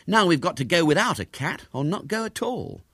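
A man's voice: sits high, lively intonation, fairly quick, pushed and bright, no echo, clean studio sound, pitched above the performer's own voice, full character voice. A man voicing a practical, doubtful schoolboy sidekick in a storybook, sounding exasperated.

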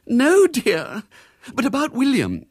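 A fretful storybook mother , acted by a man. Her voice is high and plaintive, moaning her worries with wide rises and falls. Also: wildly swooping pitch, slow, slightly rough, dry recording, studio quality, full character voice. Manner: groaning, plaintive. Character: anxious, fretful middle-class wife and mother, moaning.

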